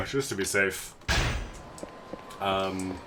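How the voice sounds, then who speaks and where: A man with a nasal voice who is still getting over a cold talks through his gameplay in a relaxed, rambling way. His voice is fairly low. A clear, constant noise runs behind him. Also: extremely slow. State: recovering from a cold. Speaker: game streamer. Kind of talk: casual let's-play commentary.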